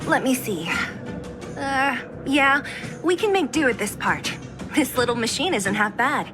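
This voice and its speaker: Tough, lively underground scrapper. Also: female voice, high-pitched, unhurried. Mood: gruffly approving. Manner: blunt, confident, teasing. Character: tough, self-reliant, streetwise young woman from underground. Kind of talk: video game voice acting. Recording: game audio with music behind.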